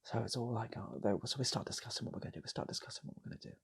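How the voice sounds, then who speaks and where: A man improvising a comic story in a soft, breathy ASMR style, often repeating phrases and slipping into whispers. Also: medium pitch, fairly even intonation, rapid-fire. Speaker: storyteller, channel host.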